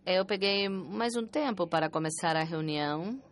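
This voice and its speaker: A woman working as a conference interpreter, interpreting a talk with an uneven pace that rushes and then slows, pausing and restarting often. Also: lowish voice. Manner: uneven pace, alternately rushing and slowing.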